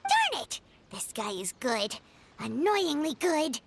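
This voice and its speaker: An actress voicing an excitable, exasperated small girl companion in a video game. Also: sits high, takes it slowly, vocal fry, full character voice. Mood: frustrated.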